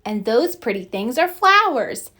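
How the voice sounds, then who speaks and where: A chirpy little boy rabbit from a storybook, put on by a woman, very high-pitched. He is playful, bossy and eager. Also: a little slow, full character voice. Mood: explaining. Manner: excitable, eager.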